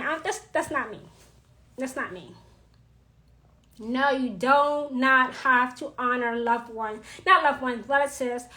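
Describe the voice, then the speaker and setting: Female witchcraft teacher, New York accent, raspy edge, blunt, repetitive delivery, high voice, unhurried. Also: a bit bright. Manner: blunt, emphatic, repeats her points. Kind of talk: blunt livestream talk.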